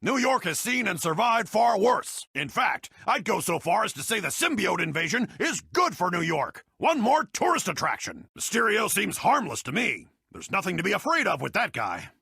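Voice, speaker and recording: An actor playing a blustering, cantankerous middle-aged newspaper boss in a video game, ranting pompously on air in a gravelly, raspy voice. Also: male voice, high voice, very animated, moderate pace, pushed and bright, dry recording, studio quality, exaggerated voice. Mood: smugly persuasive. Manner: pompous, emphatic, bombastic delivery. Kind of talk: theatrical broadcast rant, video game voice acting.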